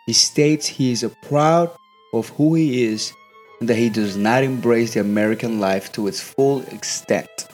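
A young man, a student, reading a scripted documentary narration in steady, measured phrases. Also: mid-range voice, soft background music. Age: young adult.

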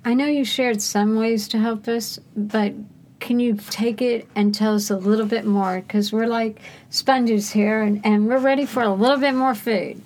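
A woman's voice: on the high side, steady tone, moderate pace, a little mellow. A woman hosting an interview, asking questions in an encouraging, conversational way. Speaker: host.

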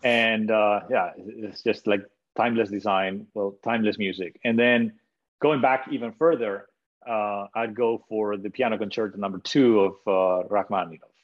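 A man's voice: on the low side, steady tone, normal speed, muffled recording. A man from Spain, a podcast guest, talking casually about music with many restarts and hesitations. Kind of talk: casual interview conversation.

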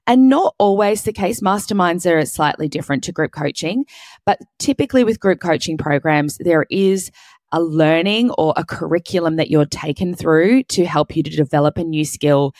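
A woman with a husky, hoarse voice, hosting a solo podcast and explaining business coaching step by step. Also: lowish voice, moderate pace, darkish tone, no echo. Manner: explains step by step in clear lists. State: hoarse, sore throat.

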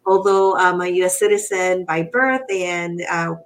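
A woman's voice: on the low side, measured pace. A middle-aged woman from Puerto Rico with a Spanish accent, answering as an interview guest in an earnest, reflective way and often searching for her words. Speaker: podcast guest, real estate professional. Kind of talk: casual interview conversation.

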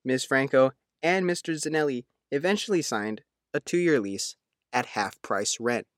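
A man's voice: mid-range voice, unhurried, dry recording, clean studio sound. A man reading a written local news feature in a scripted narration style.

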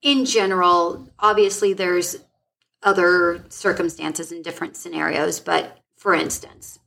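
A woman's voice: lowish voice, level tone, unhurried, gravelly, a bit bright. A woman co-hosting a casual podcast, chatty and laughing often, restarting her sentences as she thinks aloud. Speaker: podcast co-host.

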